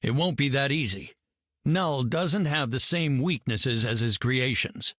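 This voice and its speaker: Brainy game hero: mid-range, earnest, exact diction, dry close recording. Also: male voice, medium pitch, sharp tone, no room sound. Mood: sober. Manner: precise, measured, earnest. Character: brainy, sober scientist hero. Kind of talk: video game voice acting.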